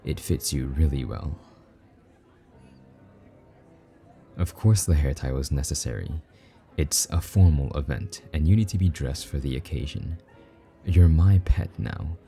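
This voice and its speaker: A man's voice: very deep voice, moderate pace, warm tone, faint music behind. A man playing a possessive, teasing demon lover in an intimate roleplay monologue, taunting and doting by turns. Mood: possessive, proud.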